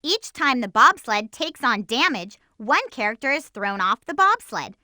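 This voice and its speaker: A woman voicing an energetic, determined young girl heroine in a video game, with a perky, emphatic cartoon delivery. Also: on the high side, a little slow, no echo, clean studio sound, exaggerated voice. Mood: instructive, perky. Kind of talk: video game voice acting, tips and battle shouts.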